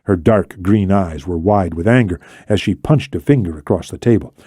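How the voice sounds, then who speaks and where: A man narrating an audiobook of fiction with an expressive, dramatic delivery. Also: low-pitched, moderate pace, breathy edge, dry recording, studio quality.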